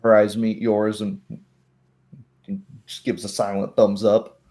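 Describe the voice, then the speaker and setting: A man's voice: fairly low pitch, level tone, slow, dark tone. A man narrating a tabletop roleplaying game as its game master.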